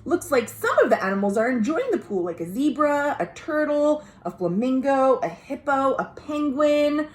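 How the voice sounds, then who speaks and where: Animated, high-pitched American woman reading to children. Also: normal speed, few pauses. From United States. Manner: expressive, dramatic, explains simply for children. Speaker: librarian, storytime host. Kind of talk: children's read-aloud storytelling with asides. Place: at home. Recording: roomy, echoey home recording.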